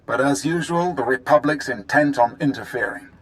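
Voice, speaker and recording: Measured, pragmatic Imperial official played by a man. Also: mid-range voice, fairly bright tone, lightly acted, rough recording. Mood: businesslike. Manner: measured, matter-of-fact briefing. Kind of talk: dramatic video game acting.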